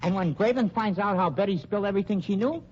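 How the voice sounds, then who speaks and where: A man's voice: high voice, fast, lightly acted. An actor playing an eager, slangy henchman assistant in a radio crime drama. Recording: dull lo-fi old radio recording, background noise.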